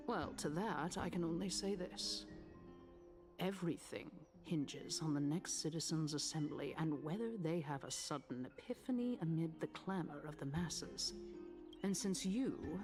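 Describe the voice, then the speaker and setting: A woman's voice: lowish voice, natural voice. Actress as a composed, oratorical woman politician, music behind. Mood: defiant, oratorical. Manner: formal, oratorical, measured. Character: composed, smooth-tongued female politician, courtly and defiant, veiled menace. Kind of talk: fantasy video game voice acting.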